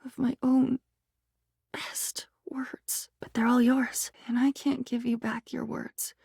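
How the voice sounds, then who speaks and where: A woman's voice: high-pitched, little pitch movement, slow pace, creaky, darkish tone, slightly rough, no echo, clean studio sound, exaggerated voice. An actress voicing a whimsical, anxious, melodramatic young woman in a video game, with a theatrical, musing manner. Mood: tender, playful.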